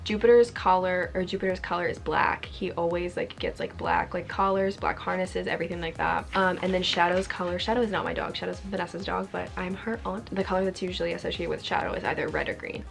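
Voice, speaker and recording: Young woman vlogger, fast and chatty, kinda low gravelly voice, says like a lot. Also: soft background music. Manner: chatty, frequent "like" fillers, occasional swearing. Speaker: YouTuber answering fan questions. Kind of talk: casual Q&A vlog.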